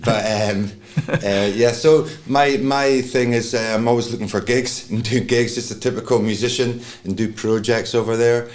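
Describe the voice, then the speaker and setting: A man's voice: medium pitch, fairly even intonation, normal speed, hardly any pauses. A middle-aged man with a Scottish accent, a flamenco guitarist talking in a rambling way with many fillers. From Scotland.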